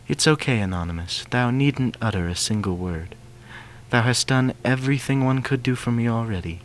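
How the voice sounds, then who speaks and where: A man voicing a gentle, regal female pony princess, soft-spoken and affectionate, with archaic courtly speech. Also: medium pitch, expressive, normal speed, slight background noise, pitched above the performer's own voice, natural voice. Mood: tender.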